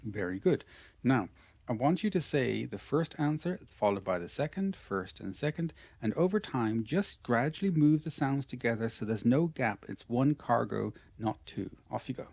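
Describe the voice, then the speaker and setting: An Irish man in an online lesson, coaching a student through pronunciation. His voice sits at a middling pitch, and he stays patient and encouraging, handing out praise again and again. The sound is dry and close, with no room around it. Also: lively intonation, normal speed, gravelly, darkish tone, no room sound. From Ireland. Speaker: English pronunciation teacher.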